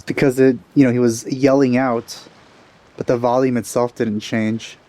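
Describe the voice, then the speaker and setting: A man's voice: mid-range voice, little pitch movement, normal speed, warm tone. A man giving casual let's play commentary in a dry, laid-back way.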